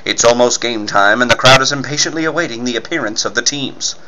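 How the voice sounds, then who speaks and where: A man's voice: mid-range voice, quick pace. A man reading scripted narration and ad copy as an emphatic, punchy 1940s-style radio announcer. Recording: faint background noise.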